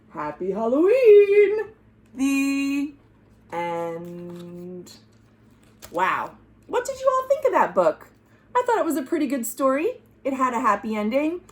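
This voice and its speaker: A woman reading a children's storybook aloud as a storytime host, dramatic and exclamatory. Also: medium pitch. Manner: exclamatory, dramatic, asking listeners questions. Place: at home.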